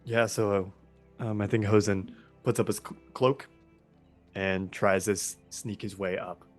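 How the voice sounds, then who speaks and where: A man with a somewhat low voice plays a tabletop game while sick, and a sniffly quality runs through his speech. He talks casually and upbeat about his character's moves, with soft music faintly behind him. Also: fairly even intonation, measured pace. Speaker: player.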